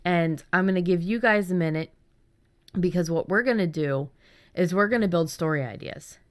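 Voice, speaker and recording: A woman's voice: on the low side, normal speed. A woman hosting a casual podcast about writing fiction, chatting in an enthusiastic way. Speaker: podcast host.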